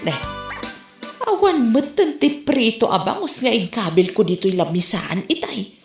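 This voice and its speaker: A woman's voice: medium pitch, sing-song, unhurried, over music. A woman playing a bossy, exasperated nag in a comedy drama. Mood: scolding. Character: scolding, exasperated woman bossing her relative around. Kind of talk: Ilocano comedy radio-style drama. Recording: roomy echo on the voice.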